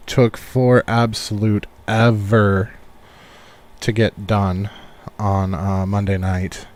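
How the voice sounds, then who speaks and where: A man giving relaxed, rambling live commentary on a game he is playing, thinking aloud as he goes, while recovering from a cold. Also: on the low side. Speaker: game streamer. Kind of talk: casual live gameplay commentary.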